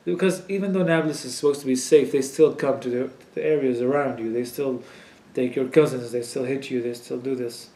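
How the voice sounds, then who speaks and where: A man's voice: medium pitch, fairly quick, hardly any pauses, mellow. A young Palestinian man answering interview questions in frank casual talk, with many fillers and an occasional curse. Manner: frank, swears when riled, many fillers. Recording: echoey room, faint ambient noise.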